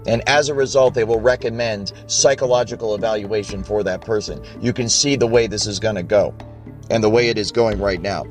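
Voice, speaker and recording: A man's voice: lowish voice, fairly even intonation, fairly quick, faint music behind. A man speaking in an urgent, impassioned rant, swearing for emphasis.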